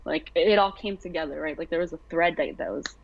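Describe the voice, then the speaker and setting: A woman's voice: deep voice, quick pace, gravelly, no room sound, highs cut off. A young American woman, an artist being interviewed, speaking casually with many fillers and restarts. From United States.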